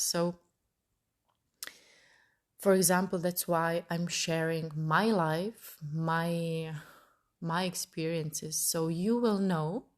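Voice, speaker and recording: A woman in her thirties from Italy, hosting her own podcast and thinking aloud as she searches for her words. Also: fairly low pitch. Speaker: podcast host. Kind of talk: personal podcast monologue.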